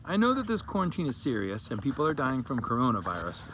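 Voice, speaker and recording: A man performs a young girl who is thoroughly fed up with quarantine. The delivery is childlike, earnest and emphatic, repeating itself in a dramatic reading of a sad little story. There is clear noise behind the voice. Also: mid-range voice, huge pitch swings, quick pace, fairly bright tone. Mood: frustrated, pleading. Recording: clearly noisy background.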